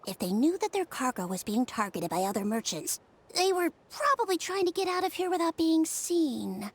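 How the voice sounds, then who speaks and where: High-pitched earnest investigator. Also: female voice, sing-song, moderate pace, a little hiss or hum. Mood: pondering. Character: earnest, analytical young woman investigator, polite and methodical. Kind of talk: animated video game voice acting.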